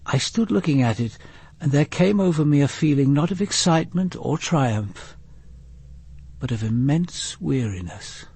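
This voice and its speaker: A reflective, weary detective narrating his own story. His voice is very husky and creaky, with expressive movement in pitch through the scripted narration. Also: unhurried, exaggerated voice. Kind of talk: scripted first-person narration.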